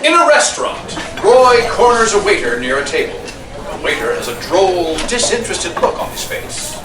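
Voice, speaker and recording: A man's voice: high voice, very animated, moderate pace. A man reading stage directions as narrator at a live screenplay table read. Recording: distant mic in noisy, echoey room.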